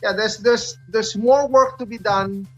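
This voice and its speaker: Guy chatting on radio, pretty high voice, repeats himself, kinda muffled audio w music behind. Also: fairly even intonation, unhurried. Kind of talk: casual radio discussion. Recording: dull lo-fi sound, music behind.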